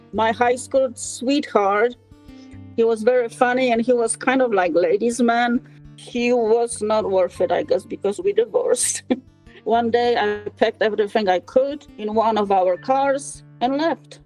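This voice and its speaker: Sixties woman with a Polish accent, somewhat high voice, halting interview storytelling, faint music beneath. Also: a little mellow, poor audio. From Poland. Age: 60s. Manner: halting, with frequent fillers.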